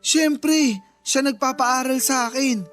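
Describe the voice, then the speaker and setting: Man acting a very high-voiced, cheeky younger brother. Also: slow pace, a bit bright, faint music behind, full character voice. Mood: uneasy. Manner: playful, cajoling. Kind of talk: radio drama acting.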